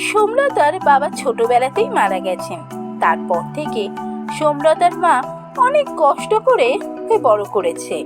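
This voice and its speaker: A woman narrates a children's fairy tale in a high voice. She tells it in short phrases with pauses, with music playing underneath. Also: huge pitch swings.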